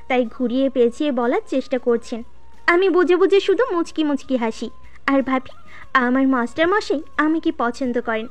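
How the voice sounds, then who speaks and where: A woman's voice: high-pitched, lightly acted. A woman playing a shy, easily piqued student who narrates her own story in a scripted audio drama. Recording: music behind the voice.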